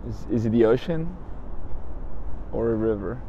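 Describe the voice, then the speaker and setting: A man's voice: mid-range voice, animated, normal speed, dark tone, muffled recording, slight background noise. A young man from Brazil with a Brazilian accent, chatting playfully and teasing while vlogging on city streets into a handheld camera. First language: Portuguese. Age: young adult. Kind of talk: casual travel vlog chat. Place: outdoors on city streets and in a car. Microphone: handheld camera at arm's length.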